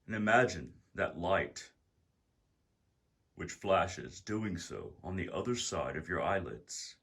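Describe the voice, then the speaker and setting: Guy doing guided meditation narration, really slow w pauses, deep but bright forceful voice. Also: expressive, vocal fry, husky. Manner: deliberate, measured phrasing with pauses.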